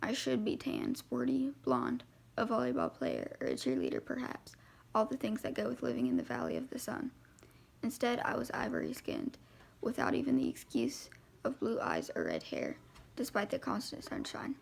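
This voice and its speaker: A woman with a creaky, fry-laden voice reading first-person novel narration aloud as an amateur audiobook reader. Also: on the high side, huge pitch swings, normal speed.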